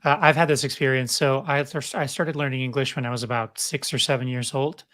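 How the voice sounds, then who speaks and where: A man with an American accent, talking casually and joking in a relaxed panel chat. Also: medium pitch, a little fast. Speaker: guest creator.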